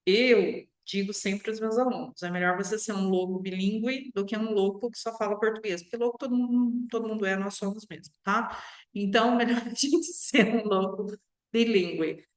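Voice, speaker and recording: Female online language teacher, Brazilian accent, mid-pitched voice, instructive with frequent check-ins; roomy, echoing recording. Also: steady tone, moderate pace. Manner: instructive, often checks in with short tag questions. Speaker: English teacher. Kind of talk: online language lesson to viewers.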